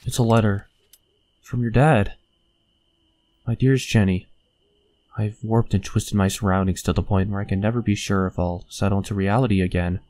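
A young man playing a gentle, concerned friend in a comic dub, speaking in a hesitant, earnest way. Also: fairly low pitch, steady tone, normal speed, pauses often, natural voice. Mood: somber. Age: young adult. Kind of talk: animated comic dub acting.

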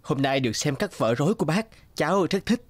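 A man's voice: fairly high pitch, lively intonation, a little fast, close to an everyday voice. A man voicing an eager, polite young scholar in a children's cartoon, earnest and respectful.

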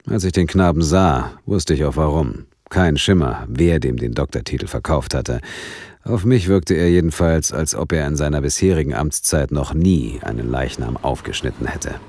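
A stubborn, sardonic detective in an audio drama. The voice is very deep and husky, swinging widely in pitch as he argues his point with dry insistence. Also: lively intonation, moderate pace, a little mellow, no room sound, exaggerated voice. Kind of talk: German audio drama acting.

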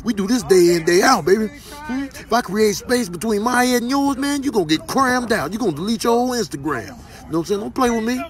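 Man as a cocky strong bull, high voice, boastful slangy trash talk, comic animal voiceover. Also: expressive, brisk.

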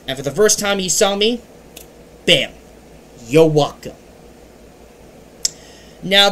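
An earnest young man vlogs into a webcam from a dim bedroom, his voice a bit high and bright with a forceful edge. He talks in halting bursts that swell loud and then drop soft. A faint hum sits under the room. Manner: earnest, halting, with swings in loudness. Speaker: channel host. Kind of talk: casual webcam vlog. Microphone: webcam at desk.

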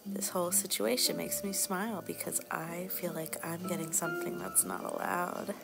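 Woman playing a low, creaky, flirty bar hostess. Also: steady tone, moderate pace, poor audio. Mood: warm, confiding. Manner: casual, teasing, drawling asides. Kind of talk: soft-spoken ASMR roleplay. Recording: music behind the voice.

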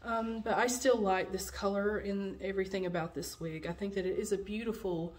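A woman's voice: medium pitch, steady tone, fairly quick. Chatty young woman with a cold. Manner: chatty, many "um" and "like" fillers. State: has a cold, slightly nasal. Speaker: wig reviewer. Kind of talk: casual product review vlog. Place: dim room at home, indoors.

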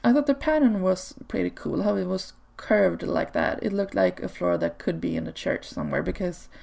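A woman whose first language is Swedish, chatting casually over gameplay footage in a relaxed way, with frequent ums and small laughs. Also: fairly low pitch, normal speed, vocal fry. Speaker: video creator. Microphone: home desk microphone.

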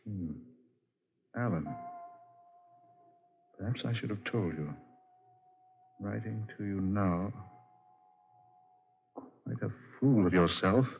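An actor in a 1940s radio drama playing a hot-tempered man spurned by the woman he loves. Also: lowish voice. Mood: pensive, wounded. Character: jilted, hot-tempered, successful man in love. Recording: muffled old 1940s radio recording.

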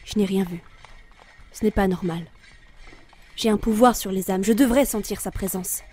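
A woman's voice: mid-range voice, normal speed, darkish tone, close to an everyday voice. An actress playing a weary, brooding female reaper of souls in an introspective audio drama narration. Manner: solemn, introspective.

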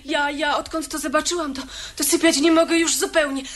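A woman's voice: high voice, steady tone, fairly quick, fairly bright tone. A woman playing a naive, romantic young girl of good family in a radio drama, gushing and earnest. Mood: agitated. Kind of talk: 1970s Polish radio drama acting. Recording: faint hiss on old radio recording.

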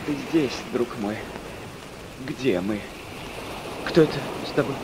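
An actor in a radio play voicing an educated, courteous professor, genteel and questioning. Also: male voice, mid-range voice, takes it slowly, noisy background, lightly acted. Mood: weak, dazed.

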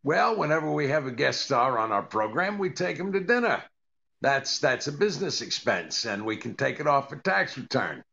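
Older male actor as a put-upon radio sitcom husband, deadpan, sharp gravelly delivery, slightly dull recording. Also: medium pitch, moderate pace, full character voice. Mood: dry, patient. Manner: deadpan, hesitant with uhs. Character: dry, put-upon comedian husband doing income tax. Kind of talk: 1940s radio comedy acting.

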